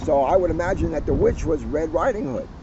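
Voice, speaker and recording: A man's voice: on the high side, a little fast, a crowd in the background. A man in his fifties chatting casually to camera as co-host, recorded outdoors. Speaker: police officer co-hosting a read-aloud. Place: outdoors by a lake. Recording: very noisy, windy, dull outdoor camera sound.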